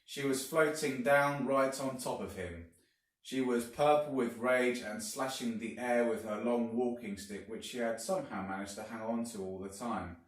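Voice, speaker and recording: A man reading a children's story aloud like a teacher, deliberately and with dramatic emphasis. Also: mid-range voice. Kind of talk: expressive storybook narration. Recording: very echoey room, distant mic.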